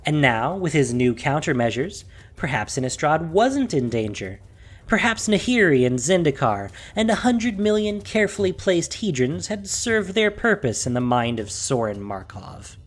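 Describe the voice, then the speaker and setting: Low-voiced woman narrating with dramatic, swooping emphasis. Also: big pitch swings, normal speed. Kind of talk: dramatic scripted story narration.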